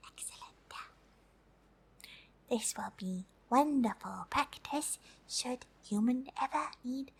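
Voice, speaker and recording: A woman voicing a gentle, polite female alien for an ASMR roleplay, in a soft breathy whisper with slow, hesitant, stilted English. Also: on the high side, expressive, heavily acted. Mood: gentle, encouraging. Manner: slow, deliberate, hesitant, refers to herself in third person.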